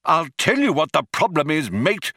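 A man's voice: a little high, sing-song, moderate pace, pushed and bright, husky, no echo, studio quality, pitched above the performer's own voice, exaggerated voice. A man voicing an angry, pedantic older philosopher, indignant and argumentative like a stroppy union man.

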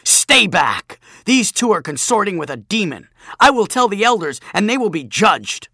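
Man acting a shrill, blustering boy bully. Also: sing-song, moderate pace, no room sound, studio quality, full character voice. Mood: shrill, accusing. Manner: shouting, indignant, blustering. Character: cruel, pompous young village bully boy, panicky and shrill.

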